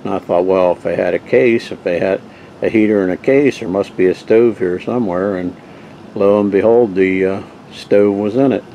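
A man casually telling the story of the old camping gear he found, rambling with frequent pauses and restarts. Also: deep voice, warm tone, lo-fi sound, a little hiss or hum. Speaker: vintage camping gear collector showing finds. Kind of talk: casual show-and-tell narration.

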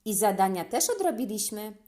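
Woman voicing an eager, chatty little schoolboy, lively pitch. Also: fairly quick, lightly acted. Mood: eager, earnest. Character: earnest, chatty young schoolboy, a younger brother.

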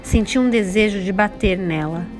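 A woman's voice: mid-range voice, normal speed, darkish tone, soft background music. A woman with a Brazilian accent giving a scripted storybook narration.

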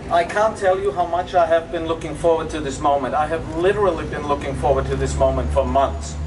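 A man's voice: a little high, brisk. A man from Austria with an Austrian German accent, giving an enthusiastic public dedication speech as a resort executive, with frequent fillers. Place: outdoors at a public event. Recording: distant outdoor recording with faint background noise.

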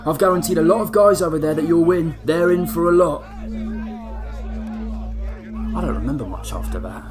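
An actor playing a rough, cocky young bare-knuckle fighter, telling his story in chatty, matey slang. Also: a little high, animated, fast, background noise, lightly acted. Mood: grim. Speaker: actor in an audio drama. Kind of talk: chatty first-person dramatic storytelling.

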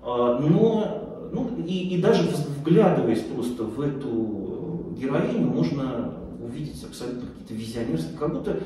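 A man's voice: medium pitch, animated, moderate pace, hardly any pauses, dark tone. A middle-aged man giving an informal lecture, talking off the cuff and hesitantly searching for words. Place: small indoor lecture room, far camera. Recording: echoey room, distant mic, faint noise.

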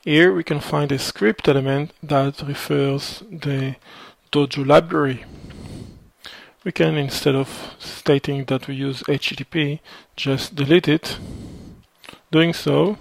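A man slowly walking through a code sample in a screencast, explaining step by step with frequent fillers. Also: fairly high pitch, fairly even intonation, vocal fry, no room sound. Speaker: programming instructor. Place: at a computer, voice over screen recording.

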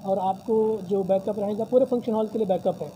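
A man speaks at a press table in a decorated hall, his voice very dark and mellow. He talks formally and promotionally, dropping religious phrases often. A faint background hiss sits under the voice. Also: sits high, brisk, dull audio. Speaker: convention hall representative. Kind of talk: press conference answers. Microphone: many news mics on a table.

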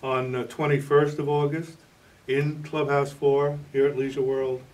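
A man in his sixties speaks as a writer being interviewed. His voice sits at an average male pitch. The microphone is a little way from him in an indoor studio. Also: flat delivery, a little slow, darkish tone, far from the mic, rough recording. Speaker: novelist and playwright being interviewed. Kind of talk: interview answers.

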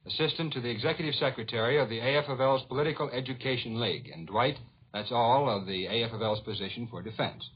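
A man's voice: medium pitch, quick pace, breathy edge. An American man putting questions to a guest as a 1940s radio interviewer. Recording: dull 1940s radio recording.

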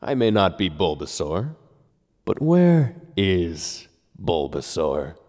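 A man's voice: sits low, very animated, slow, a little mellow, full character voice. A man playing a theatrical, pompous, easily offended ghost in a cartoon parody, talking down to everyone. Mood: ominous, condescending. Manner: pompous, condescending, melodramatic. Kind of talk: animated cartoon parody acting. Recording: long cavernous reverb, faint noise.

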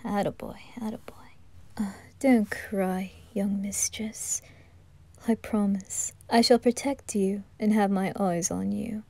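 A woman voicing a suave, devoted male butler in a fan audio drama, speaking formally in a soft whisper. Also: mid-range voice, fairly even intonation, takes it slowly, vocal fry, no room sound. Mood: soothing.

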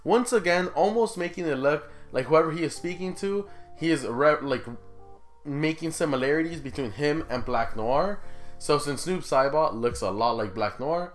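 A young man hosts a gaming video with casual, conversational commentary. He speaks at a middling pitch and puts informal questions straight to his viewers. Music plays behind his voice. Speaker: gaming YouTuber, host.

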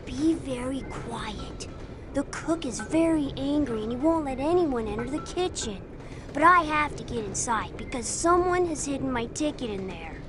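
A woman's voice: sits high, lively intonation, moderate pace, over music. A woman voicing a timid, cautious child in a video game, plaintive and hesitant. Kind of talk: video game cutscene acting. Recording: noisy train ambience behind.

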